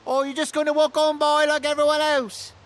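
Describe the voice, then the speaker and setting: An actress playing a self-pitying, wheedling merchant in a video game, complaining with theatrical exasperation. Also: female voice, high voice, level tone, brisk, a little hiss or hum, close to an everyday voice. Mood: indignant, pleading. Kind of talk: video game character acting.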